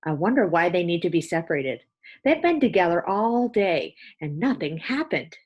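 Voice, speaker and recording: Storybook housemaid played by a woman reader: eager to please, folksy, innocently puzzled, pitched a little up. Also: big pitch swings, normal speed, dull audio. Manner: folksy, earnest, exclaiming. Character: cheerful, literal-minded housemaid, puzzled but eager to please. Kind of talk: children's storybook read-aloud.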